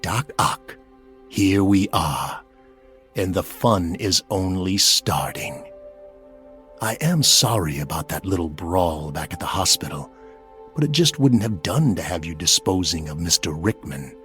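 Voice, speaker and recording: A man's voice: deep voice, animated, unhurried, fairly bright tone, faint music behind. A man voicing a menacing, mocking, condescending supervillain in a theatrical comic book dub. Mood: mocking menace. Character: menacing, mocking male supervillain in powered costume.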